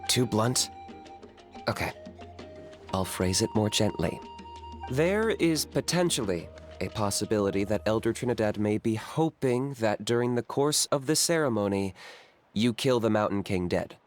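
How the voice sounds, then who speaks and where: A man as a low-voiced, coolly blunt game character. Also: animated, soft background music, natural voice. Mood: blunt, calm. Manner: matter-of-fact, unhurried, dry. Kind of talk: video game character acting.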